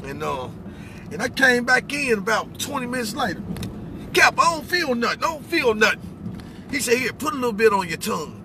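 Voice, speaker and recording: Man, middle-aged, high-pitched, colloquial storyteller, emphatic, noisy vehicle interior. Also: very animated, brisk. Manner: colloquial, emphatic, repeats phrases for effect. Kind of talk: animated personal storytelling with preaching. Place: inside a pickup truck cab.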